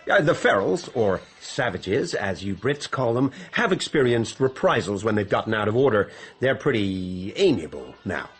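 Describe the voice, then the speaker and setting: Condescending, long-winded official guide, pompous recital with lively intonation, radio drama. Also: male voice, lowish voice, moderate pace, hardly any pauses, faint music behind. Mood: affable. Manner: pompous, droning official recital with throat-clearing.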